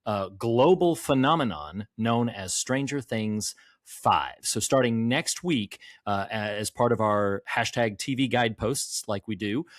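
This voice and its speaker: A man's voice: medium pitch, no room sound, clean studio sound. A man hosting a podcast, speaking to listeners in casual chat and announcing upcoming episodes. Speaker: podcast host.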